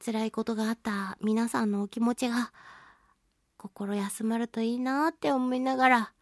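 A woman with a fairly high voice hosts a casual solo radio talk. She is expressive and pokes fun at herself, stretching out her exclamations. The sound is dry, with very little room in it. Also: normal speed, no room sound. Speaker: radio talk show host; voice actress and singing event MC.

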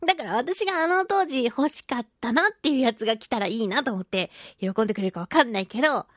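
Woman chatting in a high, animated voice. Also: quick pace, no echo, clean studio sound. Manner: giggly, chatty, playfully self-narrating in mock-polite phrases. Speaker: radio show host and voice actress. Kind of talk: casual chatty solo radio talk.

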